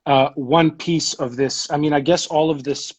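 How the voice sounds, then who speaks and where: A man's voice: a little high, fairly even intonation, moderate pace, breathy edge. A young man who grew up in Ethiopia, chatting as a guest, rambling with many restarts and fillers. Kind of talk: casual video-call chat.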